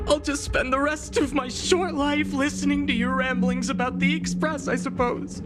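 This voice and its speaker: A woman's voice: high-pitched, fairly even intonation, moderate pace, close to an everyday voice. An actress playing a jaded, sardonic woman in a video game, world-weary and guarded. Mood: resigned. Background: prominent music.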